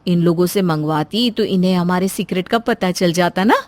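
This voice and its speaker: A woman's voice: on the low side, normal speed, no echo, close to an everyday voice. A woman voicing a sly young sister-in-law in a moral story, coaxing and falsely sweet as she manipulates.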